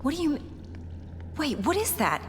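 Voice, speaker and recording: High-pitched, emotional young woman. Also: wildly swooping pitch, normal speed, background noise. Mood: anxious. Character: young woman, worried, outspoken, fiercely emotional. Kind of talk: dramatic video game acting. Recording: long reverb, music behind. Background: dramatic music.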